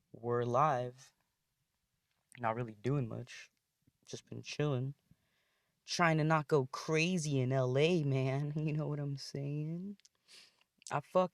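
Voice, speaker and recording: A young man hosting a casual solo podcast from inside his car, recorded on a phone, rambling and swearing freely in a thin voice without much bass. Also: dry recording, clean studio sound. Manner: rambling, profane, laid-back with frequent fillers. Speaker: podcast host.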